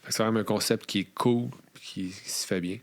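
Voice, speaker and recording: A man's voice: lowish voice, steady tone, brisk. A man with a Quebec French accent hosting a podcast interview in casual, teasing chat.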